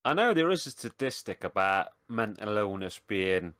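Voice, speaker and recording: Give me a low, dry-voiced man chatting on a podcast. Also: big pitch swings, moderate pace, a bit bright, dry recording. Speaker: podcast co-host. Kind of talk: casual chat.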